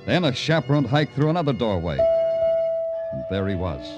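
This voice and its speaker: An American actor playing a world-weary, tender-hearted city detective, narrating in a poetic, hard-boiled old radio crime drama style. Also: male voice, medium pitch, huge pitch swings, moderate pace, dull audio. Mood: wry. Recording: old radio recording with music.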